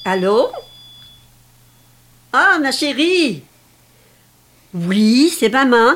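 A woman's voice: sits high, very animated, extremely slow, a bit bright, slight background noise, heavily acted. An actress playing an elderly, possessive mother, theatrical and self-pitying, in a dramatic script reading. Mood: tender, plaintive. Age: older. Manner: theatrical, reproachful, martyr-like.

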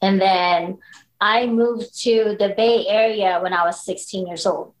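A woman's voice: medium pitch, steady tone, unhurried, poor audio. A woman, candidly telling her story as a podcast guest. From Philippines. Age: 30s. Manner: candid, storytelling, frequent likes and you knows. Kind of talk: casual interview conversation.

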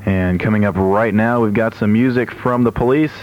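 A man's voice: on the low side, steady tone, normal speed, highs cut off. A man working as a radio DJ, chatting between records in a studio, on an old AM aircheck recording. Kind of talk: radio music DJ chat. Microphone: studio broadcast microphone.

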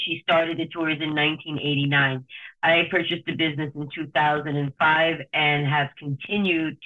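Woman, New Jersey accent, tour company owner, steady storytelling, tinny phone line. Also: low voice. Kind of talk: interview answers.

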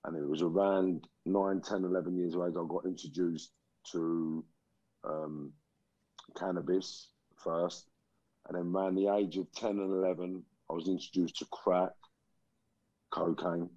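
A man, a guest telling his life story slowly and reflectively with frequent pauses, over lo-fi remote call audio. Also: sits low, fairly even intonation, warm tone, no echo. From England. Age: 40s. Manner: slow, reflective, many pauses and ums.

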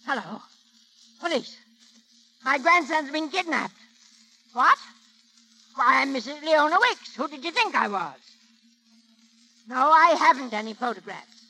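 A woman's voice: high-pitched, animated, unhurried, pauses often, pushed and bright, heavily acted, poor audio. An actress playing an imperious, irascible rich old grandmother in a 1930s radio comedy, bossy and sputtering as she scolds. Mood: flustered, commanding. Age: older.

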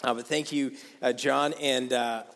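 Hoarse-voiced preacher in a reverberant church. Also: male voice, mid-range voice, fairly even intonation, unhurried, a bit bright, a little hiss or hum. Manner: casual, conversational, with many fillers. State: losing his voice. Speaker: guest preacher. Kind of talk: sermon. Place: church sanctuary. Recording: long hall reverb.

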